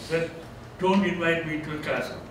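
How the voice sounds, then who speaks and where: Older Tamil-accented man lecturing in echoey room. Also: fairly high pitch. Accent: Tamil-accented English. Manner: reflective, chuckling, gently self-deprecating. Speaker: retiring theology professor. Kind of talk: informal farewell lecture with Q&A. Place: seminar room, indoors, medium-sized. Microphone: far camera. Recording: echoey room from far off, background noise.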